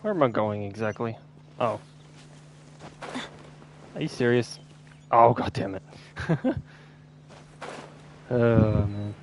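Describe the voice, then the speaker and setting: A man's voice: on the low side, takes it slowly, pauses often. Male game streamer, casual muttered commentary with swearing, faint background noise.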